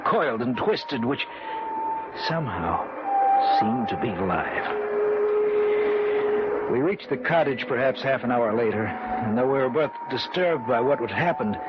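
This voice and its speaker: A man's voice: medium pitch, natural voice. Actor as an uneasy young man, muffled old radio. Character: uneasy young engaged man narrating his eerie ordeal. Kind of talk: old-time radio horror acting, narration and dialogue. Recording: noisy, dull old radio recording.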